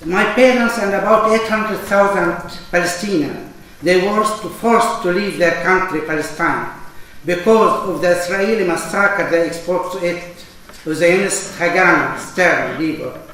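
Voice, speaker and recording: A man from Lebanon, a panelist and association chairman, giving a halting statement in a panel debate with many fillers and restarts. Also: high voice, a bit bright. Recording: echoey hall, distant mic, faint noise.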